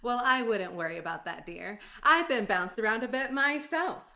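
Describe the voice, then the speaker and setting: A woman's voice: a little high, lively intonation, normal speed, gravelly, pushed and bright, heavily acted. A woman playing a catty, mock-sweet rival in a 1940s radio comedy, with a snide manner. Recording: noisy live stage recording.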